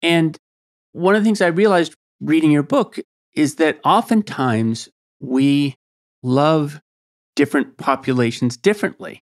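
A man's voice: a little high, a little slow. A man hosting a podcast, asking probing questions in a conversational interview. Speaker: podcast host.

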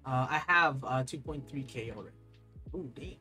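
A young man chatting casually on a live stream at his desk, rambling and excitable, reading chat aloud and laughing often. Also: medium pitch, moderate pace, faint music behind. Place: desk at home, overhead camera.

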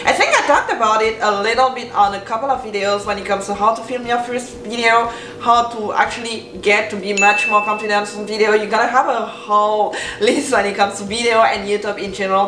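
A woman's voice: mid-range voice, background noise. A young woman with a French accent, a native French speaker, giving encouraging advice to the camera in an upbeat talking-head vlog from a room with bookshelves. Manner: upbeat, encouraging, repetitive emphasis. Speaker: YouTuber. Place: bedroom with bookshelves, indoors. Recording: roomy sound, mic at a distance.

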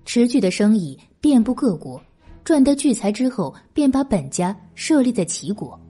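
Casting: female audiobook narrator for historical fiction, high voice with mellow tone, music underneath. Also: sing-song, moderate pace, breathy edge. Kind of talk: scripted narration of a historical novel. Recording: background music.

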